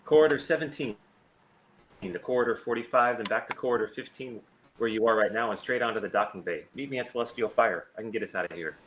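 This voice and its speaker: A man plays a wary starship captain in a sci-fi table read. He talks quickly, in clipped and urgent phrases, at an ordinary male pitch. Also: fairly bright tone, slight background noise, natural voice, poor audio. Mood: urgent, resolute. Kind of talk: amateur remote table read.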